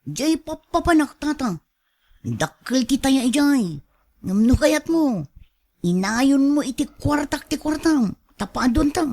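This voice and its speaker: A woman's voice: high voice, very animated, slow, darkish tone, exaggerated voice. A woman playing a sly, coaxing gambling crony in a radio drama, persuasive and confident. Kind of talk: Ilocano radio drama acting.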